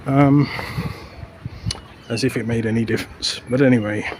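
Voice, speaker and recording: A man's voice: medium pitch, steady tone, normal speed. A man vlogging as he walks through the city and a park, out of breath and rambling in a chatty way with lots of fillers. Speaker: travel vlogger. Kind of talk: casual walking commentary. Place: outdoors on city streets and a park walkway. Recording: outdoor mic with traffic noise. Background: traffic and city ambience.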